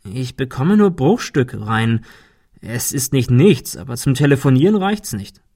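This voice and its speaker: A man's voice: mid-range voice, sing-song, a little slow, warm tone. A man voicing a brusque, decisive male character in an audiobook thriller, firm, curt and commanding. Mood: matter-of-fact.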